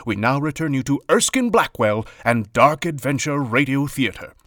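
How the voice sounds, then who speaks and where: A man plays a sober vintage radio news announcer. His medium-pitched voice is formal, with a very dry, close sound. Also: lively intonation, normal speed, fairly bright tone, no room sound, lightly acted.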